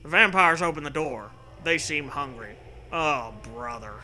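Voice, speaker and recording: Very bright-voiced man reading comedy aloud, noisy. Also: on the high side, animated, a little slow. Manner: profane, jokey, theatrical delivery. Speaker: host reading a choose-your-own-adventure story aloud. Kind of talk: comedic read-aloud with casual riffing.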